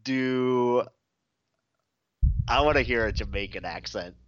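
A man's voice: medium pitch, slow, fairly bright tone, dry recording, highs cut off. A man co-hosting a casual comedy podcast, laughing often and swearing freely. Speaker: podcast co-host.

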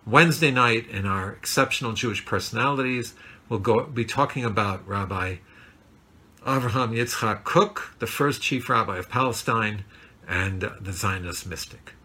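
A man's voice: fairly low pitch, moderate pace, pushed and bright, slightly rough. A man giving an earnest, reflective Torah class, with many fillers and self-corrections.

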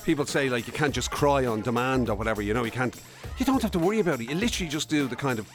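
A man's voice: medium pitch, expressive, rapid-fire, faint music behind. An Irish man co-hosting a podcast, bantering casually in a teasing, sardonic way. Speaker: podcast and radio co-host.

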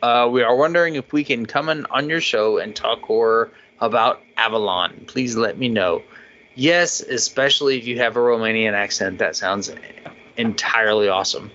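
A man's voice: medium pitch, moderate pace, pushed and bright. A man co-hosting a podcast, reading listener emails aloud in a loose, chatty way. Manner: laughs often while reading, loose and chatty. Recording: dull lo-fi podcast audio.

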